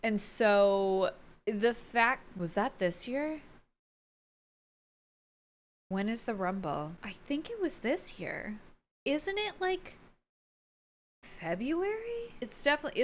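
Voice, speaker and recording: Female vlogger, casual and opinionated, gushing, expressive delivery with casual swearing. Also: a little high, a little slow, long pauses, slight background noise. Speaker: wrestling review vlogger. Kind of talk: casual opinionated recap to viewers.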